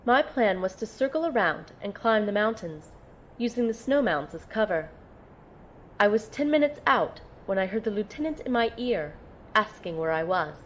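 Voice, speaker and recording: Audio drama lead: woman as young female operative, determined, mid-pitched narration and dialogue, slight background noise. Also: animated, moderate pace, close to an everyday voice. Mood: tense, reflective. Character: determined young female field medic and team operative narrating her mission.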